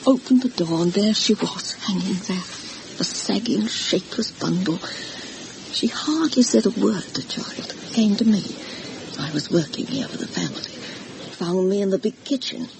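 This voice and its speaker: A woman's voice: medium pitch, lively intonation, moderate pace, creaky. A woman playing an anxious, gossipy older housekeeper in a vintage radio horror drama, confiding and deferential as she tells her story dramatically. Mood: haunted, distressed. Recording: noisy vintage radio recording.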